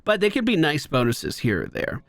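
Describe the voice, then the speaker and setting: A man's voice: fairly high pitch, huge pitch swings, fairly quick, fairly bright tone, dry recording. A man streaming a video game, giving casual play-by-play commentary on his own moves with asides to viewers. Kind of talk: live gameplay commentary, casual.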